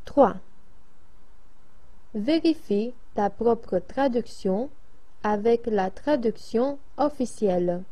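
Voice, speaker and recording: A woman reads classroom exercises aloud at a slow, deliberate pace. Her voice is mellow and warm rather than bright, and her delivery stays even and careful. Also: on the high side. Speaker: French lesson reader.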